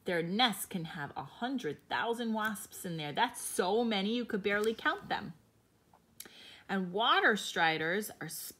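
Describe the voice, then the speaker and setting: Slow, gentle teacher reading to kids. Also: female voice, mid-range voice. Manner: slow, gentle, explains to young children. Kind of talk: children's picture book read-aloud.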